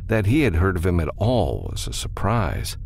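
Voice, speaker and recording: A man's voice: low-pitched, wildly swooping pitch, moderate pace, gravelly, a little mellow, slight background noise. A man reading a science fiction audiobook as narrator, in a dramatic scripted style.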